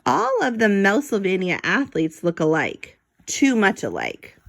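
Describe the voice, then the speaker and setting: A woman reading a children's book aloud as an expressive narrator, in a playful, theatrical way. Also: mid-range voice, unhurried, creaky. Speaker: storybook reader.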